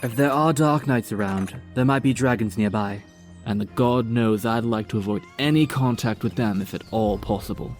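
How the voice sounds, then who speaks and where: A man's voice: lowish voice, moderate pace, a little mellow, soft background music, voice pushed up, lightly acted. A man playing an earnest, cautious dragon-rider lieutenant in a fantasy audiobook, serious and resolute in manner. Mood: wary, resolute.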